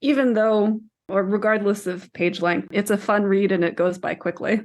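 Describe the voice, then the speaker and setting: Mid-pitched woman in casual book chat. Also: fairly even intonation, normal speed. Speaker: podcast co-host discussing books.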